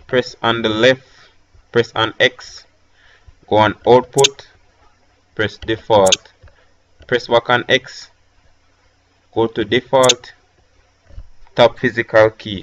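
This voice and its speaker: A man with a Jamaican accent talking through a software tutorial step by step, with hesitant fillers between instructions. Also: on the low side, highs cut off. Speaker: tech tutorial host. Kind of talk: screen-recorded software tutorial.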